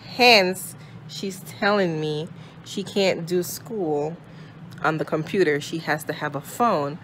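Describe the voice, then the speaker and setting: A woman's voice: sits low, slow pace, fairly bright tone, slight background noise, rough recording. A woman in her thirties with a Jamaican accent, filming a sassy, teasing family vlog on a phone held at arm's length in a house. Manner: teasing, sassy, scolding with dry humor. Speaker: mother and vlogger. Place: small room and hallway of a house.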